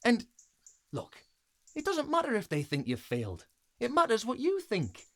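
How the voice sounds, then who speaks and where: Woman acting a kindly fox with lively intonation. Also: a little high, normal speed, no echo, clean studio sound, heavily acted. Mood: encouraging. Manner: folksy, reassuring. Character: kindly, encouraging male fox, a wise companion. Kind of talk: family audio drama acting.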